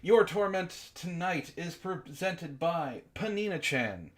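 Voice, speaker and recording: A man's voice: on the high side, animated, slow pace. A man hosting a book club livestream in casual chat, jokey and playfully theatrical when he announces things.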